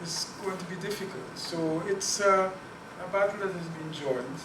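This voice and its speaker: A man's voice: high-pitched, normal speed. A man from Guyana giving an academic talk and answering questions, earnest, often repeating words as he thinks. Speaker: guest speaker on Caribbean languages. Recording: echoey room, distant mic, noisy.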